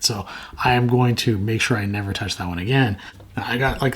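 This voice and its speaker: Hoarse, gravelly man chatting about houseplants. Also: lowish voice. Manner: rambling, frank, self-mocking. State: hoarse from a sore throat. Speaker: plant channel host. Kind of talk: casual talk to camera.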